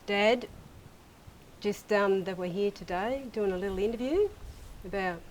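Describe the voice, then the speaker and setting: Aussie woman, middle-aged, chatting w her dad, soft um-filled prompts, bit of hiss behind. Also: mid-range voice, a little slow. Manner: gentle, prompting, with many fillers. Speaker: interviewer. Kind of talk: casual interview.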